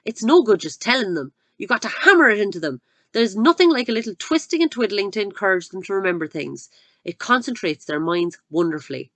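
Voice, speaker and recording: A woman puts on a towering, scornful headmistress from a storybook. She barks every line with booming contempt and theatrical swings of pitch. The recording is close and dry. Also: big pitch swings, fairly quick, a bit bright, no echo. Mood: gloating. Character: huge bullying middle-aged headmistress, cruel and scornful.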